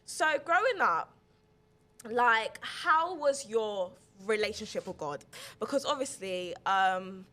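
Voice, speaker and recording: A young woman hosting a podcast, chatting casually about faith in long, animated run-on stretches full of "like". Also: medium pitch, slow pace. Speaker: podcast host.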